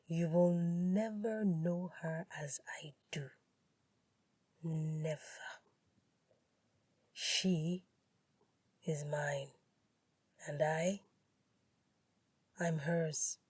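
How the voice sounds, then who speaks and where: A woman puts on a smug male rival in an audio drama, poised and possessive. The voice is a low, breathy drawl, very slow and deliberate, close and dry. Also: darkish tone, no echo, exaggerated voice.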